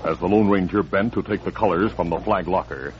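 A man's voice: sits low, a little fast, background noise. A man narrating a western adventure in a dramatic, scripted 1940s radio style. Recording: muffled old radio recording with music.

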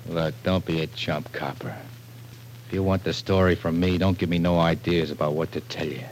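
A man's voice: sits low, fairly even intonation, moderate pace. An American actor playing a surly, cynical tough guy, slangy and guarded, in an old radio drama. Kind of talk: 1940s radio drama acting. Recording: noisy old radio transcription.

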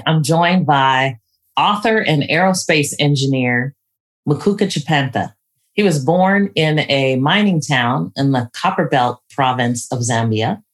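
A woman hosting an interview podcast, introducing the show and putting questions to a guest. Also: low voice. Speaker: podcast host.

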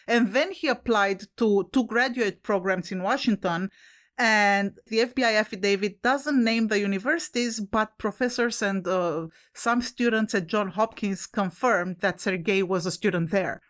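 A woman's voice: medium pitch, normal speed. A woman co-hosting a podcast with an Italian accent, chatting casually, laughing often and swearing now and then. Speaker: podcast co-host.

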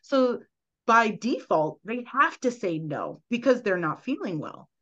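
A woman's voice: fairly high pitch, very animated, unhurried, fairly bright tone, muffled recording. A woman guest on a podcast, earnestly explaining at length and often checking in with "right?". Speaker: guest, medical intuitive.

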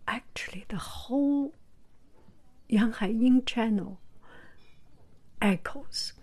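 A woman hosting her own channel, talking gently to her viewers in a soft whisper, hesitant and full of pauses. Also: fairly high pitch, slightly rough. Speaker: channel host. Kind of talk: whispered vlog talk to viewers.

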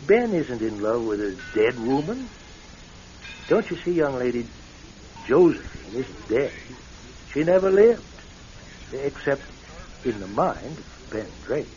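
An American man playing an avuncular, evasive small-town doctor in a radio drama, speaking in a measured, folksy, reassuring way. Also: mid-range voice, very animated, mellow, a little hiss or hum. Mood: grave, revealing. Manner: folksy, measured, circling around answers. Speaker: actor in a radio play. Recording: dull lo-fi radio recording.

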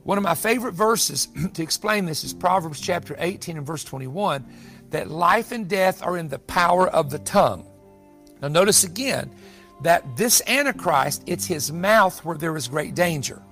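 A man's voice: on the high side, lively intonation, normal speed, fairly bright tone. A man in his sixties teaching the Bible in a sermon style, emphatic and given to repeating phrases for weight. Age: 60s. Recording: music bed under the voice. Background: music.

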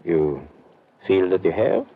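Deep, anxious doctor. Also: male voice, lively intonation, slow pace, husky, heavily acted. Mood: guarded. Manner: hesitant, tags sentences with eh and huh. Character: cautious European sanatorium doctor, secret conspirator, anxious. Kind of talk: 1950s radio drama acting. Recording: muffled, noisy old radio recording.

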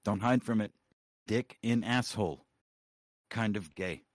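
A man's voice: on the low side, unhurried, dry recording, studio quality. A man co-hosting a livestream talk show, bantering loosely and brashly with heavy swearing.

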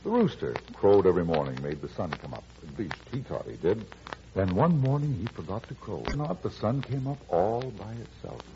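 An actor playing an easygoing, wry middle-aged farmer and suitor with an American accent in a classic radio drama. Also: male voice, on the low side, sing-song, normal speed, warm tone, a little hiss or hum. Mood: warm, persistent. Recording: dull lo-fi old radio recording.

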